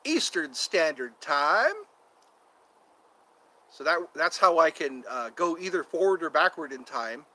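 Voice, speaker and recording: A man in his fifties chats loosely with his livestream audience, wandering from one topic to the next. His voice sits a little high and has a bright, forceful edge. He often breaks into quick put-on voices between his asides. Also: animated, low-quality recording. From United States. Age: 50s. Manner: chatty and meandering, often breaks into quoted voices. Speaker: livestream host. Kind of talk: rambling casual livestream chat with viewers.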